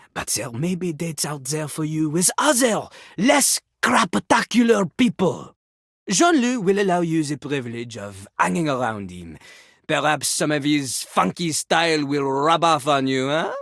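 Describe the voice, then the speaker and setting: An actor voicing a pompous, sassy talking toilet who raps in a theatrical French accent, boasting haughtily in a video game. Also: male voice, on the high side, a bit bright, dry recording, studio quality, full character voice. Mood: haughty, instructive. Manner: haughty, third-person boasting, theatrical flourishes. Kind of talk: video game character voice acting, partly rapped.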